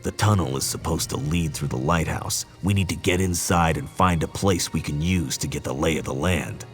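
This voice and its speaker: An actor playing an easygoing, wry and confident soldier leading a stealth mission in a video game. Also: male voice, rumbling low, moderate pace, faint music behind. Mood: steady, instructive. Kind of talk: video game character dialogue.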